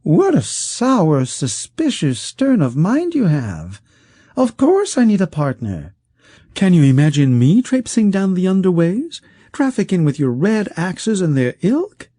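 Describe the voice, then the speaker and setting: A man voicing a smooth, scheming rich male wizard who talks in a silky, condescending way. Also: high voice, huge pitch swings, a little slow, dark tone, no room sound, clean studio sound, pitched above the performer's own voice, exaggerated voice. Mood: wheedling.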